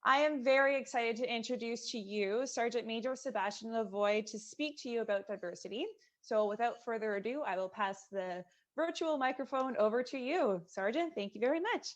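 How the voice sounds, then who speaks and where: A woman with a somewhat high voice hosts an online event over a video call. She keeps the welcome cheerful and energetic. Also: fairly quick. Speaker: youth services presenter and event moderator. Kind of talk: upbeat event hosting.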